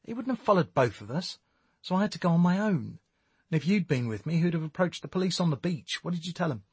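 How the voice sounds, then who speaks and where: A man voicing a determined, matter-of-fact woman explaining herself briskly in an audiobook. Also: fairly high pitch, expressive, a little mellow, breathy edge, dry recording, pitched above the performer's own voice, heavily acted.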